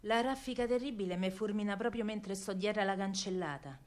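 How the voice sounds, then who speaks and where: A woman's voice: medium pitch, brisk, natural voice. An actress playing a feisty working-class woman of wartime Rome, telling her story in an earthy, colloquial Roman accent, as in a radio drama. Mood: hushed, grief-stricken. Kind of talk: dramatic first-person radio monologue in dialect.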